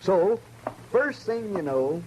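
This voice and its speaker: An American actor playing a vain, chatty frontier newspaper man, folksy, excitable and pleased with himself, in old radio drama style. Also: sits high, slow pace, muffled recording, exaggerated voice. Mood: proud, eager. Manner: folksy, excitable, self-satisfied. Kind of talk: 1950s radio drama acting. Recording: noisy vintage 1950s radio recording.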